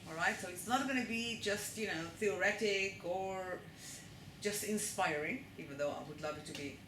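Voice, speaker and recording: A woman with an Israeli accent lectures to a live audience and keeps checking in with them as she goes. Her voice rings around an echoey room, with steady noise underneath. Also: mid-range voice, normal speed.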